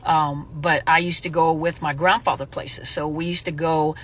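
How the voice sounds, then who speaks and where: A woman's voice: low-pitched, flat delivery, normal speed, a little hiss or hum. A middle-aged woman who grew up in Germany, hosting her own show and chatting casually, rambling with many fillers and self-corrections. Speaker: host. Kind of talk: casual vlog talk.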